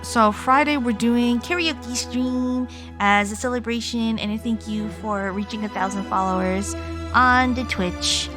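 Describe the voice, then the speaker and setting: A woman's voice: on the high side, a little slow. A tired woman livestreamer chatting casually while gaming, informal and rambling, often trailing off. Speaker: live streamer. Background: game music.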